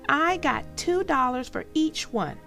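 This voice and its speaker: A woman's voice: sits high, lively intonation, a little slow, creaky, sharp tone, soft background music, voice pushed up, heavily acted. A woman voicing a business-minded little schoolgirl in a storybook, prim and self-satisfied. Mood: proud.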